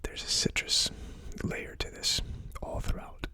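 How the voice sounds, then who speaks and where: An ASMR host giving a hesitant, thinking-aloud tasting commentary in a breathy, unvoiced whisper. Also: unhurried, pushed and bright, dry recording. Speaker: whiskey reviewer, ASMR host.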